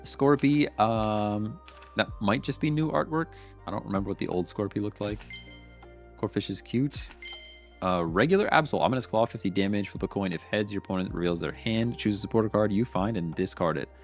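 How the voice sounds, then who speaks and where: A man's voice: fairly low pitch, brisk, faint music behind. A man with a congested, sniffly voice, casually reading card text aloud and reacting with wry opinions as a streamer. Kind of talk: casual pack-opening commentary.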